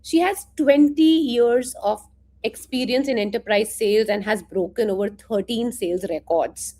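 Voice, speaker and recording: A woman with a fairly high voice hosts a live interview. She introduces her guest with effusive enthusiasm. It sounds like audio from a video call. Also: moderate pace, mellow. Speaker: host of a live interview stream. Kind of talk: interview hosting.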